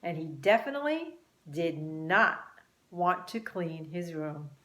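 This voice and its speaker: A woman reading a children's story expressively and deliberately, with dramatic pauses. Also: fairly low pitch, animated, fairly bright tone, a little hiss or hum. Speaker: author and teacher reading own book. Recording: roomy, echoing room sound.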